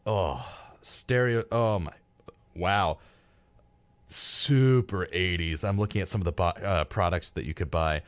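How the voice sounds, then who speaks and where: A man's voice: low voice, measured pace, dry recording. A man co-hosting a live radio show in a casual, chatty, rambling way, tossing in wry reactions, close on a studio microphone.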